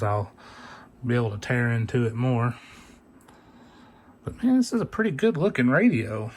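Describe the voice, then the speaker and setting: A man giving an unscripted, casual hands-on gadget demo in an easygoing, technical way. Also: medium pitch. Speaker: hobbyist video presenter. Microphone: phone camera mic. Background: radio chatter and hiss.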